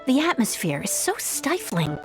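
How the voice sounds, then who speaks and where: A disillusioned woman who left her homeland, for a video game. Her voice sits fairly high and moves with lively inflection. She speaks formally and earnestly, with music playing underneath. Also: moderate pace. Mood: weary, disheartened. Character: disillusioned woman emigrant from an oppressive island nation. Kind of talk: video game voice acting, explanatory dialogue.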